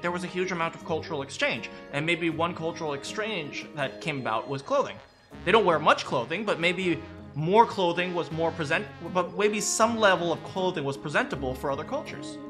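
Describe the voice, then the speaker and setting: A man chatting casually about game lore, rambling with many restarts and frequent tag questions. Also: high voice. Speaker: streamer discussing game lore with chat. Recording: faint music behind.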